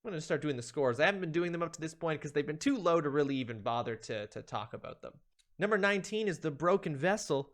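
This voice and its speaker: A man's voice: on the high side, lively intonation, quick pace. A man hosting a stream, giving a theatrical, emphatic, mock-serious dramatic reading of a humorous ranking list. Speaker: streamer, video host.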